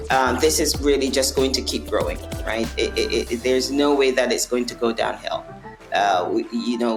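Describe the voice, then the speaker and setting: A woman from Barbados talks earnestly in a very deep, low voice, often restarting a phrase and checking in with "right?". She is recorded in an echoey room, with music playing clearly behind her. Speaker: non-alcoholic bar owner. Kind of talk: conversational interview answers.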